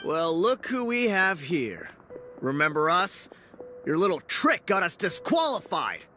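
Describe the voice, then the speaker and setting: A woman's voice: fairly low pitch, sing-song, a little slow, a bit bright, a little hiss or hum. A woman voicing a cocky, resentful young warrior in a video game, combative and indignant. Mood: menacing, smug. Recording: faint music behind.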